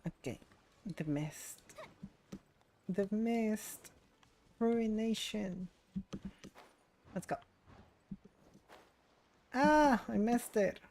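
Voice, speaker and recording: A woman's voice: medium pitch, expressive, very slow, long pauses, darkish tone. A young adult woman streaming a game, chatting casually and rambling as she muses aloud. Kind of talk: casual livestream chat while gaming.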